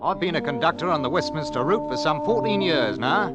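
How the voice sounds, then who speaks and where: An actor playing a deferential, earnest middle-aged bus conductor in a 1940s radio drama, sometimes halting in his speech. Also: on the high side, sing-song. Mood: earnest, puzzled. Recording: 1940s radio broadcast with loud music.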